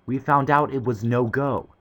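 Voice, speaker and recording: A man voicing a cheerful, sensible young boy in an audiobook, with brisk, hearty talk. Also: mid-range voice, fairly even intonation, pitched above the performer's own voice, natural voice. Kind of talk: audiobook character voice.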